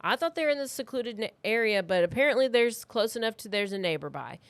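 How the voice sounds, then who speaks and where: A woman's voice: a little high, lively intonation, fast talker, no room sound. An American woman hosting a podcast, telling a true-crime story in a chatty, informal way peppered with "like". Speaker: podcast host.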